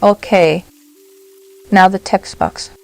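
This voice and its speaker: A woman narrating a software tutorial step by step, in short, simple instructional phrases. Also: medium pitch, fairly even intonation, slow, creaky, soft background music, rough recording.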